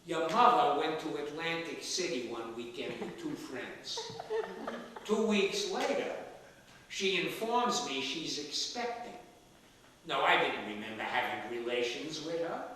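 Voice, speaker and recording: A man's voice: fairly high pitch, animated, unhurried, bright, forceful tone, slight background noise. An actor playing a spry, stubborn ninety-seven-year-old father in a stage play, defensive and dryly joking. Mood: wry. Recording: echoey stage hall from far off, clicks.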